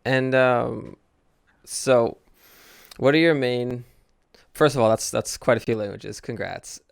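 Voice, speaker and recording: A man's voice: mid-range voice, takes it slowly, gravelly, dry recording, studio quality. A man hosting a casual podcast interview, easygoing and hesitant, often restarting his sentences. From United States. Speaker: podcast host.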